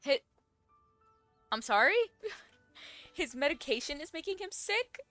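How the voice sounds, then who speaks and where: A young woman giving casual, slangy, expressive reaction commentary as she plays a game. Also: high voice, unhurried, no room sound, faint music behind. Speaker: game streamer.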